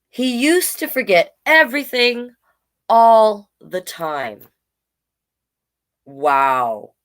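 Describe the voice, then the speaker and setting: A woman in her forties giving a casual children's read-aloud, playful and expressive, with chatty asides. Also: mid-range voice. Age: 40s. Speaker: children's storyteller.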